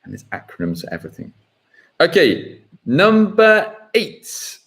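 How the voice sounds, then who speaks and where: A man hosts a live football quiz, his pitch swinging up and down. He reads each question slowly and then repeats it. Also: wildly swooping pitch, a bit bright. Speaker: quiz host.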